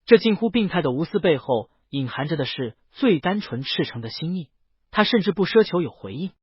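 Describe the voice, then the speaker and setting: A man's voice: fairly high pitch, sing-song, a little fast, breathy edge, no echo, highs cut off. A man reads a scripted story as an audiobook narrator, voicing the dialogue himself.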